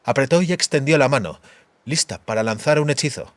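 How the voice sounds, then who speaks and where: Male narrator, medium pitch, deliberate dramatic phrasing, scripted fiction audiobook. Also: lively intonation, dry recording.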